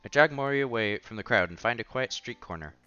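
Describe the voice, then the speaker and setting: Guy streaming a visual novel read-through, super animated, slightly dull headset sound, music behind him. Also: medium pitch, quick pace, smooth. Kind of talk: casual read-aloud with offhand commentary. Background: soft music.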